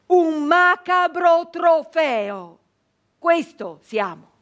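An actress playing a captured nineteenth-century Italian brigand woman in a radio monologue, anguished and defiant, speaking haltingly with weighted pauses and repeated phrases. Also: very high voice, animated, fairly bright tone, dull audio, exaggerated voice. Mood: bitter, impassioned. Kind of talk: theatrical dramatic monologue for radio.

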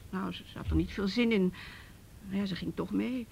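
Actress as a distraught mother, gravelly and halting. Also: darkish tone, natural voice. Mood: anxious, halting. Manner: halting, stammering, repeats words. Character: distraught, anxious mother of a runaway girl. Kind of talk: radio play acting. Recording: faint noise and low rumble.

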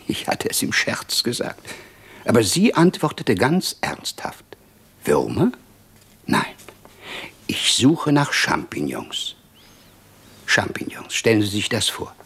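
Garrulous older man, very husky, creaky, wry gossip, exclamatory, radio drama. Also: on the low side, huge pitch swings, slow, long pauses, fairly bright tone, heavily acted. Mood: gossipy amusement. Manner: chatty, exclamatory, rambling storytelling. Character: garrulous older Russian prosector, wryly lamenting, gossipy. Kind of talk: 1950s German radio play acting.

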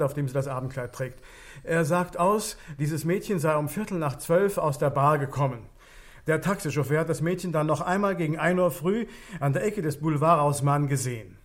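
An actor playing a humble, dutiful district police inspector in a German crime radio play, reporting in a hesitant, modest, dry way. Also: male voice, a little high, a little fast, natural voice. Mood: modest, aggrieved.

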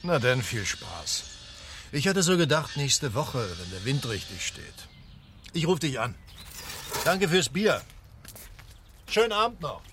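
A man's voice: medium pitch, very animated, measured pace, pauses often, noisy background. A man playing an easygoing, teasing farmer neighbour in a radio drama, casual and laconic.

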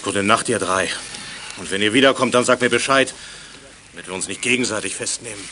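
A man's voice: lowish voice, fairly quick, bright, forceful tone, a little hiss or hum. A male actor playing a stern but kindly youth village leader in a children's radio play, with a fatherly, authoritative manner. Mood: resigned. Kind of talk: 1980s German children's radio play acting.

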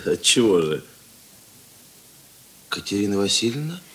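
Soviet detective, lowish pitch, terse, restrained, expressive swings, radio drama. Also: male voice, a little slow, husky, exaggerated voice. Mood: polite, reserved. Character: gruff, reserved Soviet police investigator, recovering from illness. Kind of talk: Russian radio play acting.

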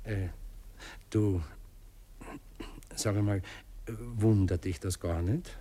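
An actor playing a sentimental, muddled Viennese gentleman in a radio play, speaking haltingly and musing as he goes. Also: lowish voice, a little hiss or hum. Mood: tender, musing. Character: sentimental, muddled gentleman client, wistful and awkward. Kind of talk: naturalistic German radio play acting.

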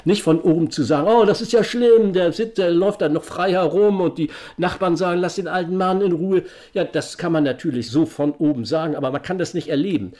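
A man in a spontaneous discussion, talking in a halting, digressive and insistent way. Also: a little high, a little mellow.

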